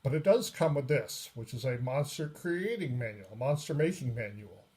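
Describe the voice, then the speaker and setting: A man giving a casual, unscripted review of a tabletop game book, pausing often mid-sentence. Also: medium pitch, expressive, mellow. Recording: noisy recording with clicks.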